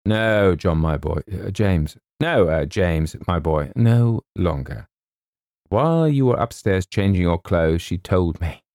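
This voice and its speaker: A man performs an old English army colonel for a storybook reading. The voice is low and gravelly with lively swings. He is bluff yet sentimental, faltering and repeating himself like a heartbroken gentleman soldier. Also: slow pace, dry recording, clean studio sound, heavily acted. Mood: mournful, noble. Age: older. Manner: hesitant, repeats himself, stumbles over names. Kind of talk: theatrical audiobook character voice.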